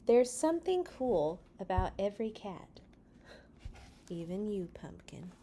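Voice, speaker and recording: A woman's voice: mid-range voice, big pitch swings, unhurried, dark tone. A woman voicing a kind, encouraging mother cat from a storybook, with a reassuring manner.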